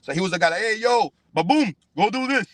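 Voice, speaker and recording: A man's voice: sits high, expressive, fast talker, a bit bright, no echo. A young man from Cuba talking casually as a fighter in an interview, emphatic and profane, with slangy repeated check-ins. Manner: emphatic, slangy, punctuated with "bro" and "you know what I'm saying". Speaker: professional fighter, podcast guest.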